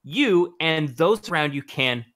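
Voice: mid-range voice, expressive, unhurried, fairly bright tone. A podcast co-host reading absurd marketing copy aloud, with joking asides.

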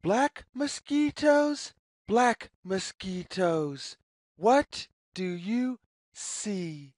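A woman reads a picture book aloud for young children at an extremely slow pace. Her pitch swings widely in a sing-song pattern, with deliberate pauses between phrases. The recording is dry and close, with little sense of a room. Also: animated, a little mellow, dry recording. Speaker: storyteller reading aloud to children.

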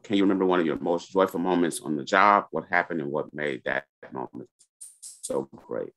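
A man moderating a virtual panel, putting questions in a deliberate, halting manner. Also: a bass voice, fairly bright tone. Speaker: seminar host reading questions. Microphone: computer mic on video call.

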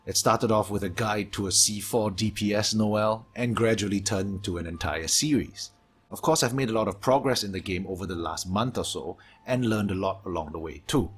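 A man from Singapore with a Singaporean English accent, reading a scripted gaming Q&A voice-over in a steady, measured way from his home hobby room, his s sounds sharp and a low hum behind him. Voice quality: strong sibilance, low hum from aquarium pumps. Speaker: gaming YouTuber.

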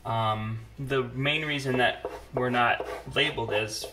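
A man's voice: medium pitch, a little slow. Middle-aged man chatting over noisy background. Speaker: host of a family drawing channel. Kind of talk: casual chat while drawing. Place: indoors at a wooden table. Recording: noisy home recording with clicks.

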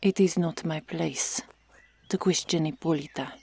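A woman playing a stoic, stern Amazon warrior in an ASMR roleplay, hushed and curt, often whispering. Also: low-pitched, measured pace, creaky, breathy edge, no echo, exaggerated voice. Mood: terse, exasperated. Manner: curt, formal, restrained.

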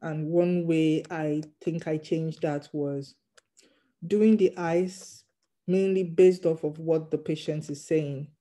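A doctor in training, a non-native English speaker, answering interview questions in a hesitant, measured way with many ums. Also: medium pitch, fairly even intonation, warm tone. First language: not English. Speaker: GP trainee interviewed about passing an exam.

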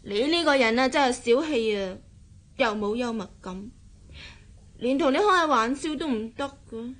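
Radio drama wife: high girlish voice, Hong Kong Cantonese, jealous, sulky, coquettish. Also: lively intonation, moderate pace, fairly bright tone. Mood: pouty. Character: jealous young wife, pouty, coquettish and childlike.

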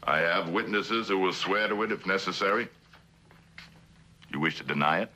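An actor playing a shrewd, skeptical middle-aged district attorney in a 1960s TV drama, speaking formally and pointedly. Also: male voice, deep voice, normal speed, sharp tone. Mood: probing. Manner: formal, probing, pointed questioning. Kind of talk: 1960s television courtroom drama acting.

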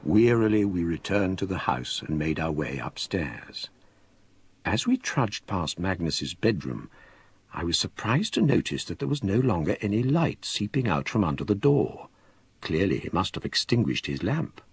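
An actor playing a scholarly gentleman narrating his ghost story in measured, grave, literary style. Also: low voice, big pitch swings, slightly rough, no echo, full character voice. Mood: grave, haunted. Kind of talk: dramatic scripted narration.

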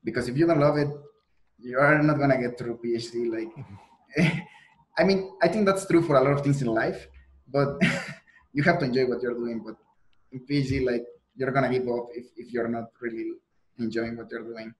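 A man's voice: mid-range voice, moderate pace, low-quality recording. A young man from Mexico, a native Spanish speaker with a Mexican Spanish accent, answering interview questions earnestly over a video call, with frequent restarts and fillers. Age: young adult. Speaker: guest, PhD student. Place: indoor room on a video call.

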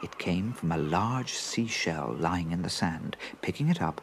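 Low, creaky-voiced male storyteller. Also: animated, a little slow, slight background noise. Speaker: story narrator. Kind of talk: expressive children's story narration.

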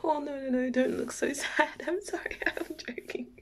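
A woman playing a playful, nervous young date in an intimate ASMR roleplay, soft and halting, often near a whisper. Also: high voice, slight background noise. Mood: mock-offended, teasing. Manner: halting, giggly, teasing.

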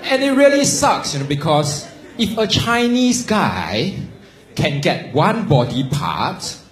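Man doing stand-up in a club. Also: sits high. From Singapore. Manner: deadpan, slow punchline delivery. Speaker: stand-up comedian. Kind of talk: stand-up set. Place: comedy club stage. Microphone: handheld mic. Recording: echoey club, audience noise behind.

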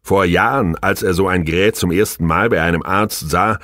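A man's voice: low-pitched, moderate pace, fairly bright tone, no echo, clean studio sound. A man reading a novel aloud as scripted audiobook narration.

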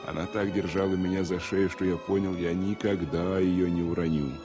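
An actor playing a romantic, reserved engineer in a radio drama, polite and a little hesitant. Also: male voice, low-pitched, fairly even intonation, normal speed, music in the background, natural voice. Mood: tender. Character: romantic, reserved male safety engineer in love. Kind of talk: Soviet radio drama acting.

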